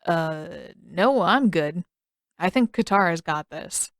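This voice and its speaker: A woman's voice: lowish voice, lively intonation, slow, no room sound. An offhand teenage boy, put on by a woman narrator for a story. He sounds casual, hesitant and awkward. The recording is very dry.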